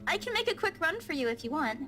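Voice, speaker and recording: A woman's voice: extremely high pitch, big pitch swings, fast talker, bright, forceful tone, soft background music, heavily acted. An actress playing a caring, playfully proud young woman in a visual novel, with a chirpy, reassuring way of talking. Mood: playful, defensive.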